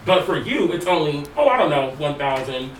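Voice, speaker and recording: An animated young man with a somewhat high voice tells stories with plenty of swearing. He sounds distant in an echoing room, with noise beneath. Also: fairly quick. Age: young adult. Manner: animated storytelling, swearing freely. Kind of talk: casual group discussion. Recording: echoey room, distant mic, background noise.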